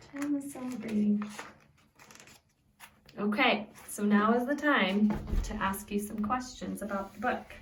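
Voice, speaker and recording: A woman reads a picture book aloud as a preschool teacher, simply and expressively, pausing to put questions to young listeners. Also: medium pitch, slight background noise. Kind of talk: read-aloud storytelling with questions to young children. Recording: echoey room, distant from the mic.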